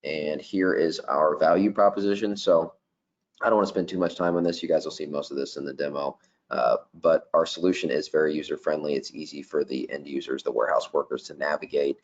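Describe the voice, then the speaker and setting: A man giving a casual software product presentation in a webinar, with lots of "um" and "you know". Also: a bass voice, fairly even intonation, brisk, highs cut off. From not Danish. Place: office.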